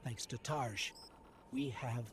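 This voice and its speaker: A man's voice: medium pitch, slow pace, background noise, lightly acted. A man playing an earnest, grieving guide in a scripted video game. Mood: somber, resolute. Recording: game sound behind, clearly audible.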